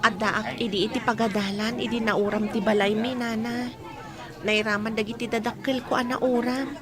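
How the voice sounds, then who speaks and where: A woman's voice: a little high, level tone, moderate pace, crowd noise, close to an everyday voice. A woman playing a pitiful young orphan, humble, grateful and plaintive, in an Ilocano radio drama.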